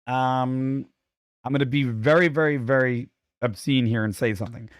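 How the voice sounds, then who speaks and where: A man's voice: medium pitch, fairly even intonation, moderate pace, dry recording. Brief: male co-host, voice worn out this week, opinionated and chatty, dry sound. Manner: opinionated, chatty, self-deprecating. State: losing his voice. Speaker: podcast co-host. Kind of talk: casual chat.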